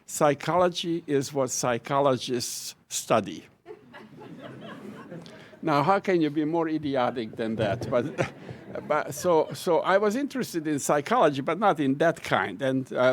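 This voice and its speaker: A man's voice: mid-range voice, a little slow, slight background noise. An older man from Hungary with a Hungarian accent, a retiring professor telling wry, self-deprecating stories about his life to a lecture audience. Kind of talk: personal reminiscence at a lecture event.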